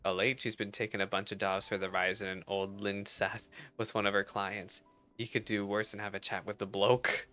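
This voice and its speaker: Guy reading a helpful fantasy game barkeep, folksy old-timey talk, low voice, music behind. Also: level tone, moderate pace, pushed and bright. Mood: helpful, matter-of-fact. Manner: folksy, old-fashioned phrasing. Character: plain-spoken, helpful tavern keeper in fantasy game.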